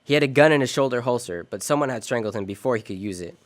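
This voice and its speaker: An actor playing a cool, wisecracking private detective in a radio noir drama, sardonic and slangy. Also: male voice, medium pitch, lively intonation, rapid-fire, close to an everyday voice. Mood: wry, unhurried.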